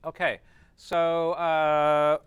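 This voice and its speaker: Male instructor, higher-than-average pitch, crisp and dry sound, informal classroom explaining with frequent check-in tags. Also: monotone, extremely slow, dry recording. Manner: informal, checks understanding with short tag questions. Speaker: teacher. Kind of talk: classroom lesson, explaining step by step.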